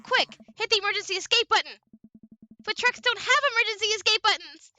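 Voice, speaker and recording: A woman voicing an excitable, playful make-believer with a melodramatic, exclaiming manner. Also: extremely high pitch, a little fast, voice pushed up, heavily acted. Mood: mock panic. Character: excitable make-believer playing ghost truckers, dramatic, playful.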